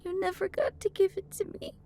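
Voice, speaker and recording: A woman's voice: very high-pitched, a little fast, dark tone. An actress playing a fearful, grieving young woman in a horror visual novel, hesitant and tearful. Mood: tearful, distressed. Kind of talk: dramatic visual novel acting.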